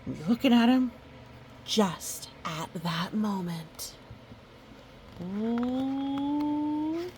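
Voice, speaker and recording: A woman reading a children's picture book aloud, expressive and exclaiming, with chatty asides to young listeners. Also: on the high side, very slow, pauses often, slight background noise.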